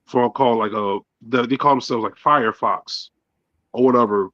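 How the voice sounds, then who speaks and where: A man's voice: mid-range voice, moderate pace, bright, forceful tone, no room sound. Rambling man in his thirties, dull audio. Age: 30s. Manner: rambling, many fillers and restarts. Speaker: podcast co-host. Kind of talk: casual chat.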